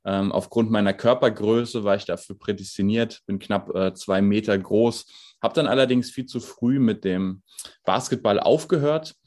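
Low-voiced young coach. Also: male voice, moderate pace. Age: 20s. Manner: relaxed, explanatory, with many fillers. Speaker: strength and conditioning coach. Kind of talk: podcast interview.

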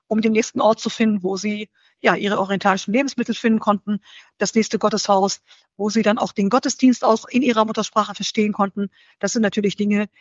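Measured woman guest, dry dull sound. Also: a little high, no room sound. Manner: measured, searching for words, frequent fillers. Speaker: interview guest. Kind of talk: thoughtful conversational interview answers. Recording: slightly dull dry recording.

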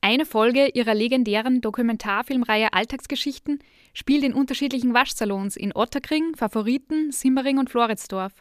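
A woman narrating a podcast reportage in a calm, even reading voice. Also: fairly high pitch, fairly quick, no echo, studio quality. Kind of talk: scripted narration.

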